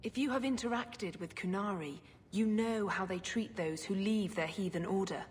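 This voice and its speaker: An actress playing a pious, scheming middle-aged sister in a video game, speaking in measured, formal phrases. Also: female voice, mid-range voice, natural voice. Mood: cold, persuasive. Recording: long hall reverb, clear background noise.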